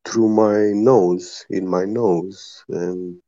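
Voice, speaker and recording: Slow, low-voiced man explaining patiently. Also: steady tone, dark tone, dry recording, muffled recording. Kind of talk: casual explanatory chat.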